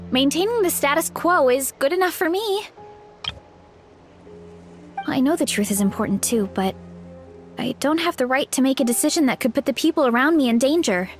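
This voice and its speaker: Cheerful young tribeswoman. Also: high voice. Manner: upbeat, friendly, a bit nervous. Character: cheerful young woman of a tribe, mushroom-loving. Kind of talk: game voice acting.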